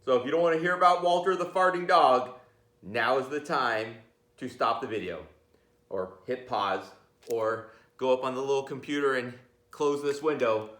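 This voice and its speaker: A man's voice: mid-range voice, moderate pace, a bit bright. A man reading a children's picture book aloud, playfully talking to his listeners with chatty asides. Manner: playful, addresses listeners directly. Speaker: read-aloud storyteller. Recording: roomy, echoey sound.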